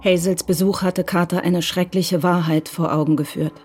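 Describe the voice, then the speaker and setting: A narrator reading the story of a radio crime drama in a calm, even way. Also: medium pitch, normal speed, background noise. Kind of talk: scripted narration.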